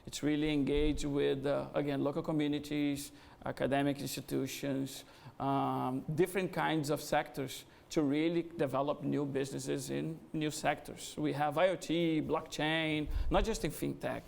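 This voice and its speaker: A middle-aged Brazilian man with a gravelly, slightly high voice speaks to an event audience. His Brazilian Portuguese accent is strong, and he pauses often to find his words. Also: flat delivery. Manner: hesitant, with frequent "uh" fillers. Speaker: guest speaker, business executive. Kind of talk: conversational fireside interview. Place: event venue before an audience.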